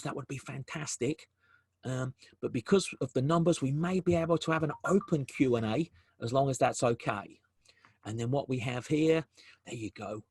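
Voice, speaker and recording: A man's voice: mid-range voice, a little mellow, husky, dry recording. A man with a South African accent presenting a business webinar over a video call, often repeating words and restarting phrases as he goes.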